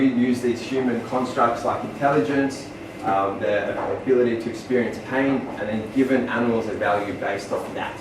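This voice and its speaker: Australian male speaker, earnest talk, distant mic, echoey room. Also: mid-range voice. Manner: earnest, with many ums and restarts. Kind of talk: public presentation. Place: small venue, far from the mic. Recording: echoey room from far off, noisy.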